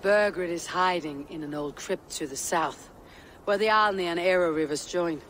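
A steady, blunt Viking woman leader, voiced by an actress in a game, with calm, dry delivery. Her voice sits in a long reverb with clear noise around it. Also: lowish voice, normal speed, close to an everyday voice. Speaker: game protagonist.

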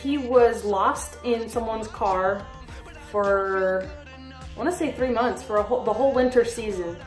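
A young woman in her twenties talks casually in a vlog, bubbly and gushing, now and then squealing in a high baby-talk voice. She is recorded in a small room with a roomy echo, sitting a little back from the mic. Faint music plays behind her. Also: a little slow, recorded from a distance. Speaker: reptile keeper vlogger. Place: small bedroom reptile room indoors. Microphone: camera a little way off.

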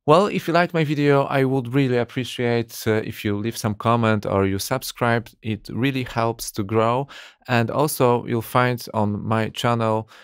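A man's voice: medium pitch, steady tone, moderate pace, clear, resonant tone, no room sound. A man in his thirties casually reviewing an audio plugin from his small home studio, hesitant, with many fillers. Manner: hesitant, many uh fillers, slightly non-native phrasing. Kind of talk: casual tech review talk. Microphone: studio condenser mic on arm.

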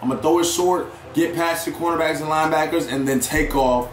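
A young man with a raspy, sore voice giving excited live commentary on a football video game and talking to his viewers. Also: fairly high pitch, fairly quick, roomy sound, soft background music. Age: young adult. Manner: excitable, hypes plays, chatty with viewers. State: hoarse, sore voice. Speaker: gaming YouTuber.

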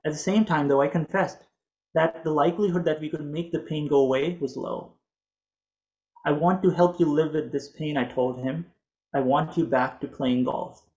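A man in his thirties whose first language is Urdu, reading a prepared talk in a measured, earnest way over a video call from a home office. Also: on the high side, a little mellow, muffled recording. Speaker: physician presenter.

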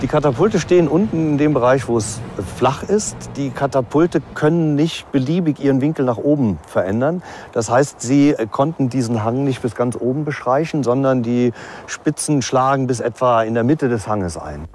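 A man's voice: medium pitch, animated, moderate pace, darkish tone, faint music behind. A man giving an explanation as an expert in a documentary interview.

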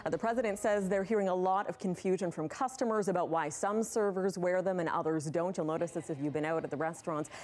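Brief: woman TV reporter, clear and brisk, mid-pitch, live studio hit. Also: darkish tone, a little hiss or hum.

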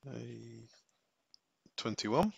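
A man giving a casual step-by-step software tutorial, thinking aloud as he works. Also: medium pitch, animated, very slow, gravelly, background noise.

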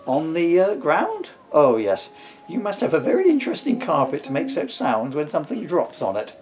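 A man's voice: on the high side, wildly swooping pitch, moderate pace, darkish tone, slight background noise, pitched above the performer's own voice. Man putting on a dry, sing-song police officer. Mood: dryly sarcastic. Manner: formal, dryly sarcastic. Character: polite, sarcastic, nosy male police officer. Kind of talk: comic storybook character voice.

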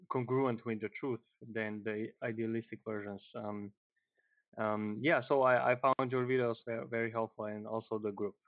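A man's voice: lowish voice, no room sound. Man, thirty, Slovenian accent, hesitant informal delivery, dry narrow phone-quality audio. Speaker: online group member introducing himself. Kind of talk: informal self-introduction on a group call. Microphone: internet or phone call, headset.

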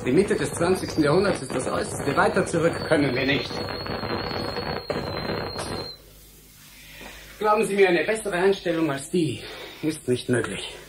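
A man's voice: on the high side, expressive, brisk, background noise, lightly acted. A man playing an earnest, blunt young scientist in a German radio drama, explaining things emphatically and impatiently. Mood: resigned, firm.

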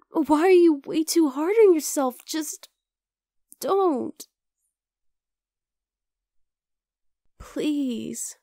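A woman's voice: high voice, darkish tone, dry recording, studio quality. A woman voicing a teasing, affectionate young wolf-girl girlfriend in an ASMR roleplay, casual and exasperated but tender. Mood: earnest, concerned. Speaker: voice actor in audio roleplay. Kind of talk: ASMR roleplay, acted one-sided dialogue.

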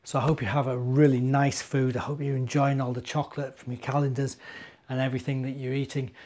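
Mellow British man talking to children. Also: mid-range voice, flat delivery, fast talker. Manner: simple, chatty, asking questions of young listeners. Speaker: minister and children's storyteller. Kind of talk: addressing young children, storytime. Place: living room indoors.

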